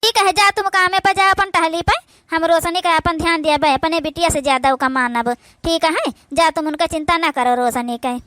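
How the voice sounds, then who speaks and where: A woman with an Awadhi accent playing a gentle, patient young stepmother in a cartoon, coaxing and soothing as she speaks. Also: extremely high pitch, steady tone, moderate pace, no room sound, studio quality. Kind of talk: amateur comedy cartoon dialogue.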